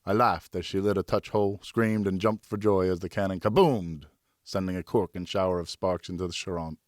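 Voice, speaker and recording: A man's voice: sits low, fairly even intonation, fairly quick, dry recording, studio quality. A man reading a novel aloud as an audiobook narrator, in scripted first person.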